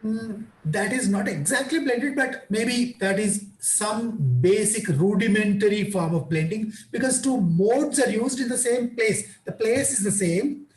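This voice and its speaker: A man's voice: high voice, measured pace, few pauses, darkish tone, roomy sound, rough recording. A man with an Indian English accent, explaining teaching methods in an online conference talk and answering questions in a patient, repetitive way. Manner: explanatory, repeats parallel phrases. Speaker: guest lecturer.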